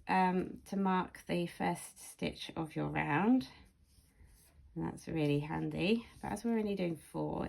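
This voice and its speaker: A woman leads a casual hands-on craft tutorial at a slow, unhurried pace. Her voice is slightly croaky with plenty of gravelly fry. She takes care to say each instruction clearly, recorded without an external microphone. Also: fairly low pitch, a little mellow. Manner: careful, clear enunciation. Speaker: crochet tutorial host. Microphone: camera or phone's built-in microphone, no external mic.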